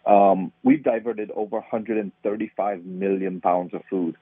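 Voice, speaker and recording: Husky Trinidadian man on a phone-like line. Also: sits low, steady tone, moderate pace, no echo. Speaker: nonprofit founder, interview guest. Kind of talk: explanatory interview talk. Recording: tinny phone-like line, dry.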